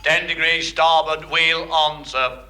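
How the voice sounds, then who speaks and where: A dutiful naval helmsman in radio sitcom style. He is slightly high in pitch, formally intoning each order. Heavy noise covers the old recording. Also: fairly even intonation, takes it slowly. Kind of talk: British radio sitcom acting.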